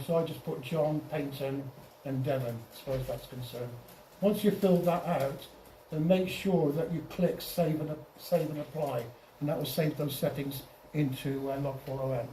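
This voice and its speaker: A man giving an informal software tutorial over slides as a club presenter, halting and often repeating or restarting his phrases. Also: a little high. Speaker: presenter at an amateur radio club. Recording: roomy, distant mic, faint noise.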